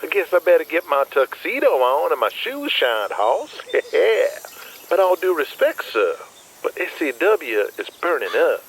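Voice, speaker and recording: An actor playing a cocky, flamboyant wrestler in an audio drama, with a showy, teasing, mock-courtly manner. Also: male voice, on the high side, very animated, unhurried, a bit bright, slight background noise, heavily acted, rough recording. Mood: jovial, teasing. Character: cocky, flamboyant Southern wrestler, a crude smooth talker.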